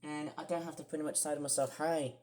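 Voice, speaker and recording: Croaky young man rambling. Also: on the high side, steady tone, fast talker, a little mellow. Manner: rambling, many fillers and restarts. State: croaky, clearing throat. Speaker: vlogger. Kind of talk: casual screen-share vlog.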